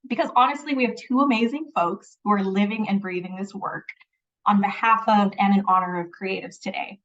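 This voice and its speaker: A woman's voice: medium pitch, brisk, fairly bright tone, slight background noise. A woman opens a webinar with an earnest, reflective welcome, dropping in small laughs as she speaks. Her voice comes from a little way off the mic, and the audio is dull and lo-fi. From Philippines. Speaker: webinar host. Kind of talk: scripted welcome and land acknowledgement. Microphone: video call. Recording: distant, dull lo-fi call audio.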